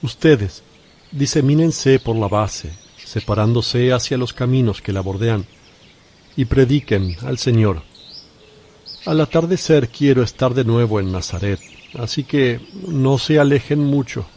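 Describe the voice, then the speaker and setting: Man acting calm holy teacher, solemn authority, unhurried pace, dark soft timbre, religious radio drama. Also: medium pitch, slight background noise. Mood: calm, commanding. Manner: measured, solemn commands. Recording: faint outdoor birdsong and ambience.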